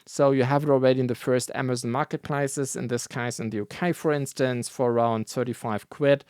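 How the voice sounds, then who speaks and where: A man whose first language is not English, hosting a casual news roundup in a relaxed, rambling way, with many fillers and self-corrections. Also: mid-range voice, brisk, smooth, no echo, clean studio sound. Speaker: host of a brick building news show.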